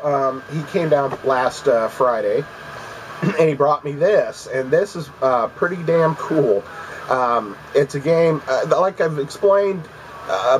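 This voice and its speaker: A man's voice: mid-range voice, a little hiss or hum, low-quality recording. A man with a congested voice, rambling casually with lots of ums as he vlogs about his video game pickups at home. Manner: rambling, many ums and restarts. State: congested from allergies. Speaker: video game collecting vlogger.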